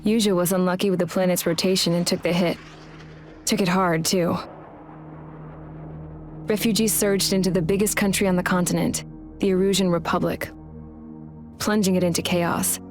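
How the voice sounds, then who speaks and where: A woman's voice: lowish voice, lightly acted. An actress playing a world-weary female pilot, narrating a war in the first person with a reflective, wry, matter-of-fact manner. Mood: somber, reflective. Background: music with guitar.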